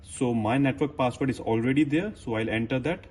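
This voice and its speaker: A man presenting a step-by-step how-to demonstration as a technician, with plain, halting instructional phrasing. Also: mid-range voice, a little mellow, breathy edge.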